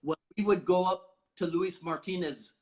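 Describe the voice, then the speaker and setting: A man from Barbados with a notably high-pitched voice hosts a relaxed conversation. He talks in an animated, chatty way and laughs often as he tells his stories. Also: normal speed. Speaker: host. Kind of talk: casual conversation.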